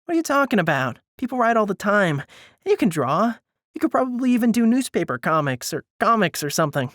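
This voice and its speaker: A man's voice: high-pitched, sing-song, a little fast, fairly bright tone, dry recording, clean studio sound, voice pushed up. A man voicing a sassy, pushy young woman in audiobook dialogue, blunt and insistent as she pushes her friend. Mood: encouraging, insistent.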